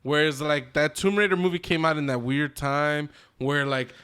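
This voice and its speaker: A man's voice: fairly high pitch, steady tone, moderate pace, a bit bright, clear, resonant tone. A man hosting a podcast in casual, opinionated chat, emphatic and slangy, often punctuating points with a checking "okay?". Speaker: podcast host.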